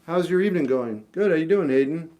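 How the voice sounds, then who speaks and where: A man's voice: fairly high pitch, lively intonation, fast, lo-fi sound. A man in his fifties chatting casually on a livestream, answering viewers with rambling, self-deprecating advice and lots of restarts. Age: 50s. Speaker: livestream host.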